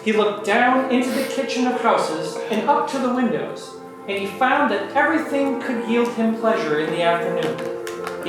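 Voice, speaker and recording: A woman narrating a comic amateur stage reading from a script. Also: medium pitch. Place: live amateur reading in a reverberant room. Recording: echoey room, distant, music behind.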